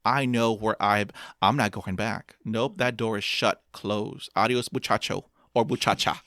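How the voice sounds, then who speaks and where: A man's voice: fairly low pitch, fairly even intonation, unhurried, a bit bright, no echo, studio quality. A man in his fifties, a guest in an interview, who stutters with repeated sounds and speaks in an upbeat, motivational way. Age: 50s. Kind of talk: interview conversation.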